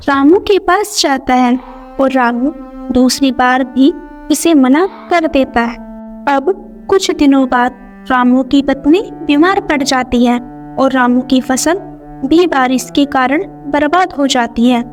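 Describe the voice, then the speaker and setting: A woman's voice: sits high, darkish tone. A woman narrating a scripted moral story as a storyteller. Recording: music under the voice.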